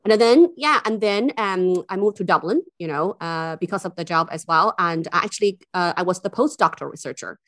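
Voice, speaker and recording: A woman's voice: on the low side, a little fast, hardly any pauses, a bit bright, no room sound, clean studio sound. A woman from China, a university officer, chatting as a podcast guest, enthusiastic and full of fillers and restarts. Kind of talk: casual interview chat.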